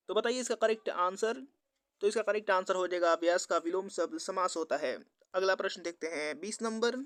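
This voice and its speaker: A man's voice: high voice, a bit bright, clear, resonant tone, no room sound. A man teaching in an instructional quiz style, methodically reading out questions and answers as an exam tutor.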